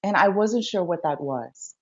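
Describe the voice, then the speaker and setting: A woman's voice: fairly low pitch, expressive, a little slow, breathy edge. A woman from India, a life coach appearing as a guest, telling her story in a casual, enthusiastic way. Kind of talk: casual interview chat. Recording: dull lo-fi sound.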